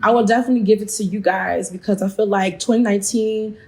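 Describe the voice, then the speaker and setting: A woman hosting a casual chat show, hoarse after losing her voice, talking in a lively way and repeating phrases. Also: medium pitch, fast talker. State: hoarse, lost voice. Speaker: host.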